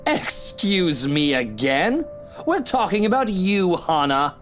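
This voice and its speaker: Sarcastic, hungover husband, pitch swooping. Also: male voice, big pitch swings, slow pace, a bit bright, slight background noise, full character voice. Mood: suspicious, needling. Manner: mocking endearments, needling, theatrical. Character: hungover drinking husband, jealous, sarcastic, quarrelsome man. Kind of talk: visual novel game voice acting.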